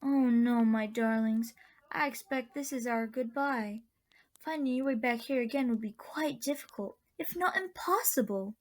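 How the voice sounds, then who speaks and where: A woman playing a kindly old woman of a magical land in a radio drama, speaking with gentle, genteel endearments. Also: a little high, normal speed, natural voice. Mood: gentle, wistful.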